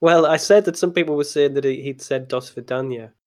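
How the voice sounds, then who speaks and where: A man's voice: fairly high pitch, a little fast. A man in a casual group chat who speaks mildly and with self-deprecation.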